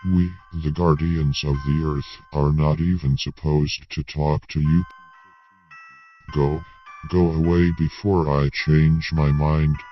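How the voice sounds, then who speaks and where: A man voicing a cold alien military governor who speaks in a monotone. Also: a bass voice, takes it slowly, faint music behind, voice pushed down. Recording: dull lo-fi with hiss.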